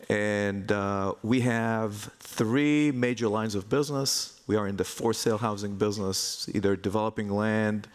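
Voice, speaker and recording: A middle-aged man with an Israeli accent speaking as an executive guest in a conference interview, in measured phrases with pauses. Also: fairly low pitch. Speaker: real estate investment executive, panel guest. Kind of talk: conference interview, anecdotal talk. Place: conference hall stage. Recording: reverberant hall sound.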